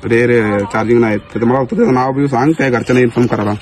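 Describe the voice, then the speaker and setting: A man's voice: mid-range voice, steady tone, rapid-fire, darkish tone. A young man with a Maharashtrian accent making prank calls in a casual, conversational style. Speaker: prank caller in a vlog. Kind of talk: casual phone chat.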